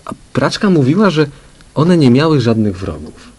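A man's voice: medium pitch, sing-song, moderate pace, breathy edge. A man playing a laconic, wry police detective who speaks casually in a crime radio play.

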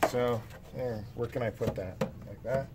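A man with a middling pitch chats casually about things he has picked up, like a co-host on a homemade show. The sound is an amateur phone video made indoors, with some noise behind him. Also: unhurried. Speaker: podcast co-host showing collection pickups. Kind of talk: casual chat, showing off purchases. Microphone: handheld phone camera mic.

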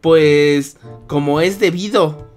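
A man hosting a gaming roleplay, chatting excitedly and repeating phrases urgently. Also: on the high side, takes it slowly, soft background music. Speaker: gaming video host and player.

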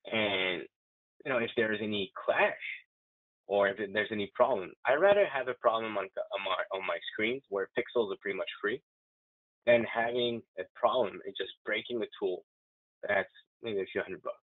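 Enthusiastic man demoing CAM software. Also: fairly low pitch, normal speed, no echo. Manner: enthusiastic, chatty, many restarts. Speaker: software demonstrator. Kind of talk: live software demo walkthrough. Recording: tinny phone-like, dry audio.

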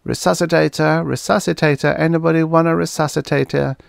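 A man's voice: fairly high pitch, very animated, moderate pace, no room sound, studio quality, voice pushed up. A man voicing a prim, knowing eight-year-old girl who chants and speaks in precise formal phrases. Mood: chanting.

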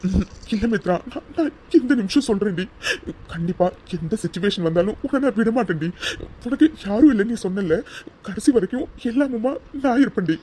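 An actor playing a young man who talks in a soft, soothing and reassuring way. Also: high voice, expressive, brisk, breathy edge. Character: young man, gentle and protective lover. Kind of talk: romantic drama acting.

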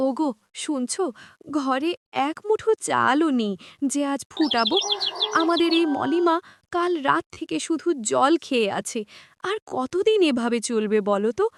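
A woman's voice: sits high, expressive, measured pace, heavily acted. A woman voicing a poor, worried village wife and mother in a cartoon, plaintive, with birdsong behind her. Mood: distressed. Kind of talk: cartoon voice acting. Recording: clear background noise with chirping birdsong.

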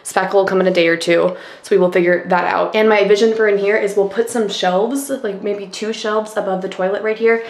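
A woman's voice: mid-range voice, steady tone, brisk. A young woman in her twenties, chatting casually and asking her viewers questions while narrating a clean-with-me video at home. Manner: chatty, upbeat, asks viewers many questions. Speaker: lifestyle vlogger. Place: home, kitchen and bathroom. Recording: narration over loud background music.

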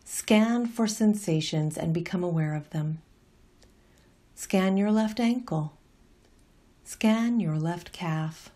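Female meditation guide: slightly low, warm and relaxed tone, gentle unhurried scripted instruction.

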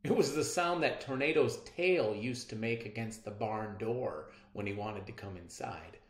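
A middle-aged man reading a storybook aloud in a dramatic, deliberate way. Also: on the low side. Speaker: read-aloud narrator. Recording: roomy echo.

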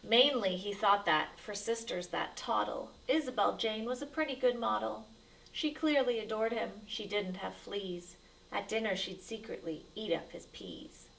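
A woman's voice: mid-range voice, measured pace, gravelly, fairly bright tone, recorded from a distance, a little hiss or hum, low-quality recording. A woman reading a rhyming picture book aloud to children as a storyteller, with dramatic, emphatic delivery.

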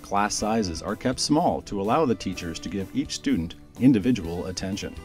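A man reading a scripted promotional voice-over in a smooth, even delivery. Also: on the low side, normal speed, music in the background. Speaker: promo narrator.

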